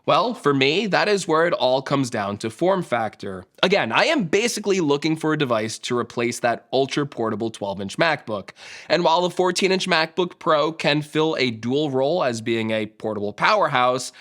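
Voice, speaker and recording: Slightly hoarse man talking tech. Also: on the high side, expressive, moderate pace, a bit bright. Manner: chatty, self-mocking. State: slightly hoarse from a sore throat. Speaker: tech YouTuber. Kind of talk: casual talk to camera.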